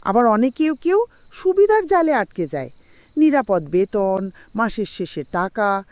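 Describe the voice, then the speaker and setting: Female actor as kindly old grandma, animated intonation, patient explaining, cartoon, clear background noise. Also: mid-range voice, unhurried. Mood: patient, instructive.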